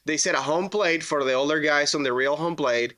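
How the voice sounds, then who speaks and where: A man in his thirties from Venezuela with a Venezuelan Spanish accent, telling his story as a podcast guest, relaxed with many fillers. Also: on the high side, fairly quick, no echo, studio quality. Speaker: guest, roofing business owner. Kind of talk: casual interview talk.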